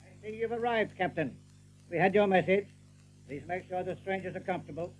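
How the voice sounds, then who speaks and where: An actor playing a rabbit general in an audiobook drama, a commanding ruler speaking in a measured, formal, authoritative way. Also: male voice, sits high, slight background noise, close to an everyday voice, poor audio. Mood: coolly formal.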